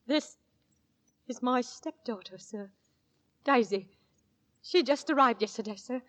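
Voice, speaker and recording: A woman's voice: on the high side, measured pace, a bit bright. An actress playing a flustered, deferential middle-aged Victorian landlady in a 1940s radio horror drama. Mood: nervous, deferential. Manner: flustered, apologetic, servile.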